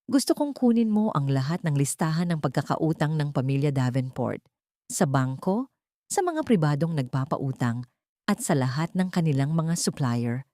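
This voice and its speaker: A woman's voice: sits low, big pitch swings, normal speed, no room sound, clean studio sound, voice pushed down. A woman playing a steely young heiress bent on revenge in a dramatic audio story, speaking directly and without wavering. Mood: resolute. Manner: sharp, direct, unwavering.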